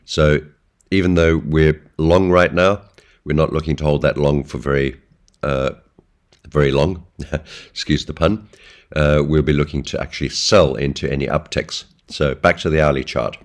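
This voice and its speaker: A man with a hoarse voice from a flu, giving a relaxed weekly market update over a chart, with many ums and uhs. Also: a bass voice, moderate pace. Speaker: forex trading analyst. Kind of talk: screen-share market update.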